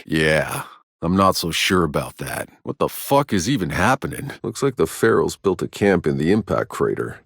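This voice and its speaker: A man voicing a gruff, battle-weary soldier in a video game, sardonic and hard-bitten, with terse delivery. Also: low-pitched, no room sound, clean studio sound, exaggerated voice. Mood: dry, determined. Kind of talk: scripted game dialogue.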